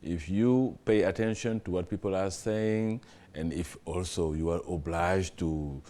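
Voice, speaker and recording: Deep-voiced Senegalese man, relaxed and halting. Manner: relaxed, hesitant with many fillers, tells anecdotes. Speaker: interview guest, TV general manager. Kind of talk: casual interview answers.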